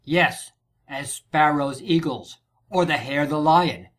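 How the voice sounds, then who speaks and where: A man's voice: a little high, takes it slowly, fairly bright tone. A man playing a wounded soldier reporting on battle in declamatory Shakespearean verse for an audiobook drama. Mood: grim, fervent.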